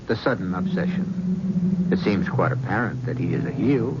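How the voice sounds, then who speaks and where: A man hosting and narrating an old radio mystery drama in a measured, wry, theatrical manner. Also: mid-range voice, background noise. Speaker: host narrator. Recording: dull old radio broadcast with music.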